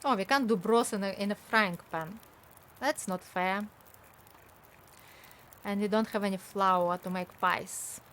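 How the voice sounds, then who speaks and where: Slow-talking older woman streamer. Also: mid-range voice, fairly bright tone, slight background noise. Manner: chatty, talks to viewers, laughs often. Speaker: game streamer. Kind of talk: casual live-stream chat while gaming.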